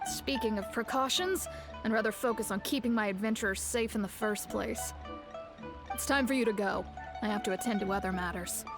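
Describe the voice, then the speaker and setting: A woman's voice: mid-range voice, brisk, music in the background, natural voice. A woman playing a firm, exasperated guild official in a video game, speaking in a curt, businesslike, dismissive way. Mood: weary, firm. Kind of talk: video game character acting.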